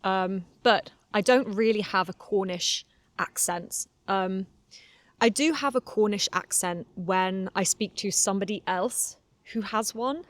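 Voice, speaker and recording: Casting: young woman English teacher, Southern English accent with a London tinge, unhurried and chatty, dry close sound. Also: medium pitch, dry recording. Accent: Southern English, slightly London. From Cornwall, England. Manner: chatty and candid, laughs often, many fillers. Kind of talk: relaxed solo podcast monologue for learners.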